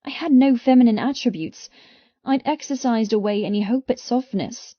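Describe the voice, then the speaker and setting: A woman reading first-person romance fiction as an audiobook narrator, with intense, expressive delivery. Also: fairly high pitch, moderate pace, no echo, lo-fi sound.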